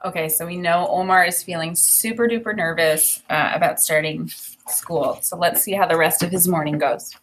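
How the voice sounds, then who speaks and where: Expressive woman teacher reading aloud. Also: fairly low pitch, a little hiss or hum, rough recording. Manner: informal, explanatory, pausing to comment. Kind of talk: expressive read-aloud with think-aloud commentary.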